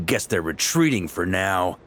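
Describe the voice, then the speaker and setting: An actor playing a hearty, confident knight grandmaster, a battle-seasoned expedition commander in a video game. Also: male voice, sits low, big pitch swings, measured pace, background noise. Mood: measured, confident.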